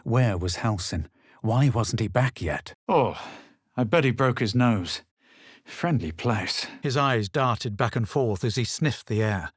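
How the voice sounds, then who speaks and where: An actor playing a haughty, self-assured adventurer in a fantasy video game, with a measured, dramatic and superior delivery. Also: male voice, medium pitch, lively intonation, slightly rough, no echo, studio quality. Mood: narrating, solemn. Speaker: voice actor of a player character. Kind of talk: scripted video game character lines and narration.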